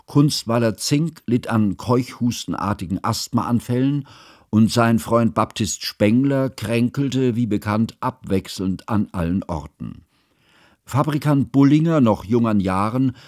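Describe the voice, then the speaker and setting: Narrator for literary radio play: a learned chronicler, lowish pitch, formal, close dry sound. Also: male voice, darkish tone, no echo. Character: learned, formal male chronicler telling of his friend. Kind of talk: scripted radio drama narration. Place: dry studio.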